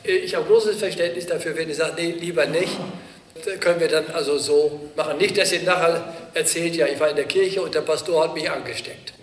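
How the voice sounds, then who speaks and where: A male pastor with a cold, giving relaxed, joking closing announcements and then the blessing in church. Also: on the high side, fairly quick, background noise. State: has a cold. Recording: reverberant church hall.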